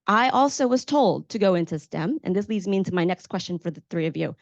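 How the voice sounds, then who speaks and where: A woman's voice: on the low side, expressive, fast, vocal fry, no room sound, dull audio. An American woman moderating a panel, telling personal stories to the audience in an earnest, emphatic, conversational way. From Maryland, United States.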